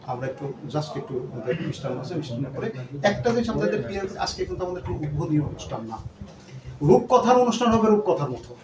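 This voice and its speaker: A man's voice: a little high, very animated, quick pace. A man speaking earnestly to a gathering of shareholders as their company leader, repeating himself and dropping in English words. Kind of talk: speech to a gathering. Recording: echoey room, distant mic, background noise.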